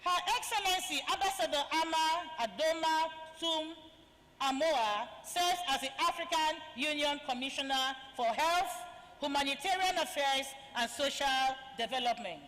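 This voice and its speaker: A woman's voice: moderate pace, a bit bright, slight background noise. A woman serving as master of ceremonies, reading formal guest introductions with honorifics into a handheld microphone. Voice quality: high voice. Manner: ceremonious, reads guest bios aloud with honorifics. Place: large conference hall. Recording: reverberant conference hall.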